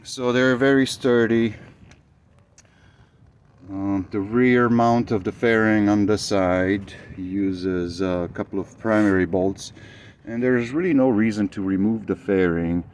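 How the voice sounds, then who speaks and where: A man whose first language is Romanian, talking through his motorcycle build in a casual, hesitant vlog, pausing often with fillers. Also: fairly low pitch, darkish tone, clean tone. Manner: hesitant, many fillers, thinking aloud.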